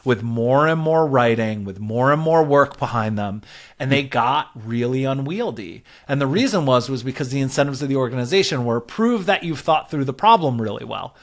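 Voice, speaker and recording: A man's voice: mid-range voice, animated, normal speed. A man speaking as an interview guest, explaining work ideas conversationally and often checking in with "right?". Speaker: interview guest, product and growth leader.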